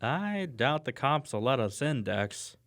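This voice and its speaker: Man as a reluctant sidekick, animated delivery. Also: medium pitch, unhurried. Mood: hesitant. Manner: doubtful, complaining. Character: reluctant, nervous young male detective's sidekick. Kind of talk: audio drama acting.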